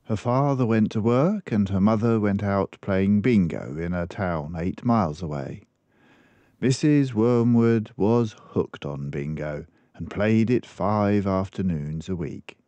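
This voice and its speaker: An older man narrates a children's storybook with a fairly low voice. His intonation rises and falls widely as he tells it deliberately and expressively, and the sound is dry with no room around it. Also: animated, dark tone, dry recording, lo-fi sound. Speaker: storybook reader.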